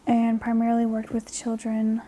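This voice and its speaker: Softly whispering young woman, very dark tone. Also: on the high side, monotone, normal speed, a little hiss or hum, rough recording. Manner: soft half-whisper with frequent fillers. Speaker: guest. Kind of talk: soft-spoken ASMR chat.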